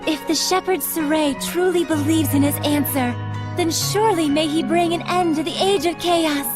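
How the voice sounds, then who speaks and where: A woman's voice: high-pitched, normal speed, over music, lightly acted. A woman voicing a serene, devoted guardian spirit, speaking formally and earnestly in a fantasy game. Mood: reverent faith. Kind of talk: dramatic fantasy game voice acting. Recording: game score behind.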